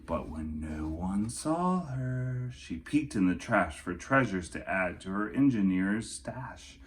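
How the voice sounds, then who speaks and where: A man reading a children's storybook aloud in an expressive, theatrical way, with playful, casual asides. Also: lowish voice, slow, a little hiss or hum.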